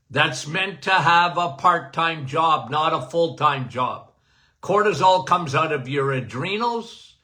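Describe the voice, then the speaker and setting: High-voiced, bright-toned older man. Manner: animated, emphatic, repeats "okay?"; says he has a loud voice. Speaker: podcast host, doctor. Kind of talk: casual health talk.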